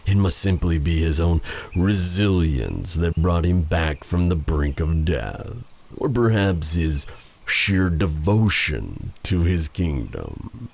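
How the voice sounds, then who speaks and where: A vengeful dark sorcerer for a fantasy audio roleplay. His voice is extremely deep and gravelly with creak. He draws out his words very slowly, silky and taunting, with purred menace. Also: sing-song, exaggerated voice. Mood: sly, taunting. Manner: taunting, theatrical, drawn-out delivery with purred endearments.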